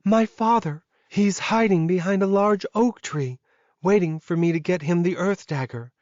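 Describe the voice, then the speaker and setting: A man's voice: high voice, normal speed, a little mellow, dry recording, muffled recording, voice pushed up, natural voice. A man voicing an evil young werewolf woman in an audiobook, pleading and emotional while she schemes. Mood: desperate pleading. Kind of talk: audiobook character acting.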